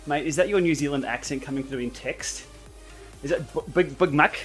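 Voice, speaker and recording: A man's voice: fairly high pitch, brisk, faint music behind. A man hosting a live indoor cycling stream, chatting casually and answering viewer comments as he rides. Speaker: livestream host. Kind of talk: casual live chat while riding. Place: indoor training room.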